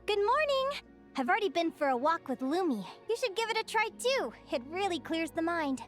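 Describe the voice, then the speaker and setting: A woman voicing a cheerful young naturalist adventurer in a video game, telling her stories in an expressive, earnest, chatty way. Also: very high-pitched, fairly quick. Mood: bright, cheerful. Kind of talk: animated video game character dialogue. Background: background music.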